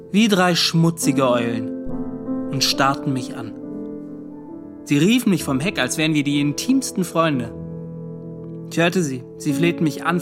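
An actor playing a haunted young ship's officer, bitter and agitated, justifying himself in a radio drama monologue. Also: male voice, on the high side. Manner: agitated, self-justifying, rhetorical questioning.

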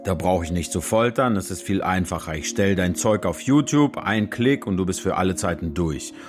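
Low-voiced, smug officer, music underneath. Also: male voice, lively intonation, normal speed. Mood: coolly threatening. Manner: condescending, persuasive, mock-friendly. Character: Syrian army officer, smug, calculating, coolly menacing blackmailer. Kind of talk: radio drama acting, naturalistic dialogue.

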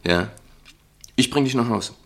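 An actor playing a concerned, soothing male companion who pleads gently in a German radio play. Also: mid-range voice, measured pace, creaky. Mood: pleading, soothing.

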